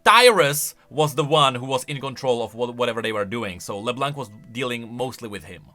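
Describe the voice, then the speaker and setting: A man giving casual, emphatic commentary as a gaming YouTuber, excitable in his delivery. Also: mid-range voice, brisk, soft background music. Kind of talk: casual lore commentary, reacting to voice lines.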